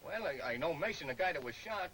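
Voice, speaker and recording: High-voiced, jumpy small-time crook. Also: male voice, lively intonation, fast talker, a bit bright, low-quality recording. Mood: anxious, pleading. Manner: slangy, pleading. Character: nervous small-time crook pleading innocence. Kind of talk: 1940s movie serial acting. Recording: old film soundtrack with faint hiss.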